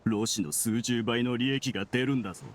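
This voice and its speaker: An actor playing a bitter, sneering researcher turned criminal, sardonic and argumentative, in an anime-style Japanese game. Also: male voice, medium pitch, fairly quick, close to an everyday voice. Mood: bitter, defiant.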